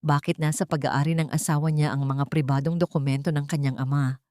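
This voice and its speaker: A woman's voice: low voice, fairly even intonation, fairly quick, darkish tone, no room sound, studio quality. A woman reading a scripted dramatic story as its narrator.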